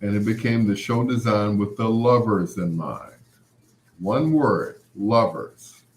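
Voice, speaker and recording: A man's voice: lowish voice, slow. A man hosting a late-night show, talking in a casual, rambling, conversational monologue with anecdotes. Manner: conversational, anecdotal, quoting past exchanges. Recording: roomy, echoing sound.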